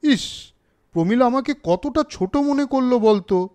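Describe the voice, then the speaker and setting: A man's voice: very high-pitched, expressive, normal speed, warm tone. A man voicing a sensitive, earnest young elder brother in a cartoon story, speaking emphatically. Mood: regretful.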